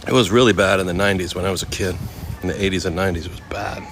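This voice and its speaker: A man from Oakland in his thirties, casually chatting with viewers while walking through a temple courtyard outdoors, easygoing and quick to laugh. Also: low voice, fairly even intonation, noisy background. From Oakland, California. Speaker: vlogger answering live chat. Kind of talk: casual live-stream walk and chat.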